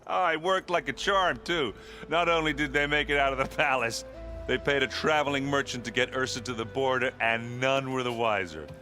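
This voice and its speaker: An actor playing a hearty, boisterous warrior who tells stories in a folksy, bashful, chuckling way in a video game. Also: male voice, medium pitch, normal speed, pushed and bright, soft background music, natural voice. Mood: animated, amused. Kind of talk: video game cutscene acting.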